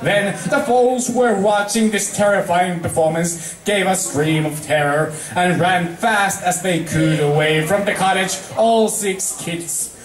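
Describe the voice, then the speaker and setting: A man's voice: sits high, unhurried. A man reading a story aloud as an amateur, stumbling over words, in a roomy recording away from the microphone. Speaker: fan fiction reader. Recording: roomy echo, distant from microphone.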